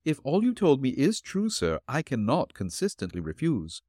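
A man voicing an elderly, refined and gentle landlady in a raised, polite voice. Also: huge pitch swings, moderate pace, no room sound, clean studio sound. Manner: polite, flustered, high put-on voice. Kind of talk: audiobook character reading.

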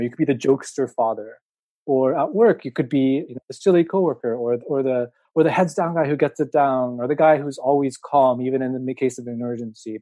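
A man's voice: medium pitch, warm tone, no echo. A man raised in the United States, a podcast guest giving reflective, conversational answers full of fillers and restarts. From Japan, raised in the United States. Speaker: podcast guest, venture capitalist.

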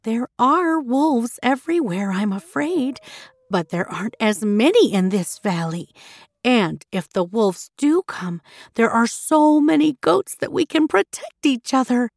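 Woman playing storybook mother goat, sweet, soothing, slow, raised pitch, gentle and brave. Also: animated, gravelly, dry recording, studio quality, heavily acted. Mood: sweet, reassuring.

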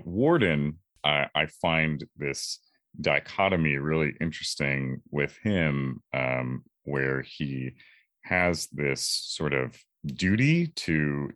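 Man, 20s, Californian: deep bass register, unhurried, thoughtful guest reflecting haltingly in an interview. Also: fairly bright tone, dry recording, studio quality. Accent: American, Californian. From California, USA.

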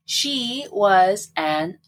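Female co-host, medium pitch with animated swings, chatty book recap style, wry and sarcastic. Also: extremely slow. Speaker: podcast co-host. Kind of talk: casual book recap chat.

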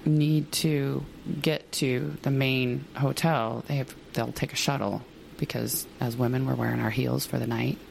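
A woman co-hosting a podcast in casual chat, hoarse and chatty, laughing often. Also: rumbling low, steady tone, measured pace, few pauses, darkish tone, a little hiss or hum, poor audio. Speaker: podcast co-host.

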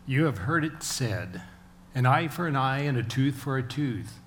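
A man reading scripture aloud in a plain, deliberate way. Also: mid-range voice, expressive, slight background noise. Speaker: volunteer scripture reader.

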